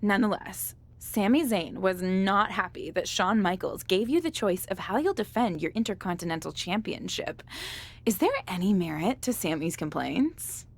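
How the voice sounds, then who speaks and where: A chatty documentary interviewer in a video game. She is breezy and upbeat, with a very animated delivery at a medium pitch. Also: moderate pace. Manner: breezy, conversational. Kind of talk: video game cutscene acting.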